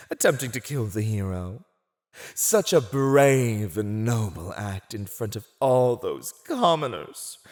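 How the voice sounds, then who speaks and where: A man voicing a mocking, silver-tongued fantasy villain in a scripted ASMR roleplay, gloating over a captive in a teasing, condescending, theatrical drawl. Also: lowish voice. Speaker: voice actor.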